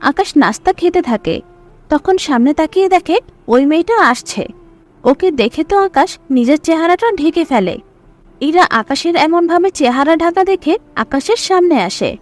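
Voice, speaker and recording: Story narrator, female: scripted delivery, high voice, highly varied intonation. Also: lively intonation, moderate pace.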